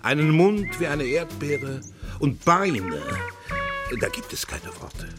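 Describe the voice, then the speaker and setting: A man narrating a children's radio play in an expressive scripted storytelling style. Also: a little high, slow pace, over loud music.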